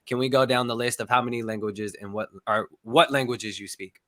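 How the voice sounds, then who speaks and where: A man's voice: mid-range voice, steady tone, a little fast, sharp tone. A man hosting a casual podcast interview, upbeat and laughing easily, with short encouraging replies. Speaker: podcast host.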